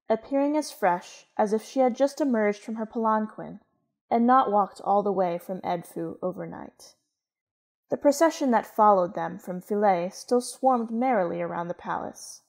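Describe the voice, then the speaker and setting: A woman's voice: mid-range voice, gravelly, darkish tone. A woman reading scripted literary narration as a storyteller.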